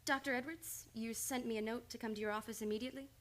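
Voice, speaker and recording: A woman playing a young, earnest psychiatrist with formal, measured speech in an old-time radio play. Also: fairly high pitch, steady tone, fairly bright tone, natural voice. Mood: calm, clinical. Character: young, reserved, earnest female psychiatrist, precise and clinical.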